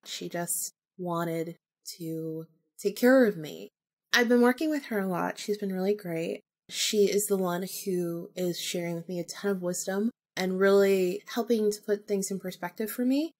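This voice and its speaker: Female podcast host, medium pitch, conversational personal storytelling with informal, acted-out dialogue. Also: a little slow. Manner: animated retelling, acting out remembered dialogue, informal with swearing.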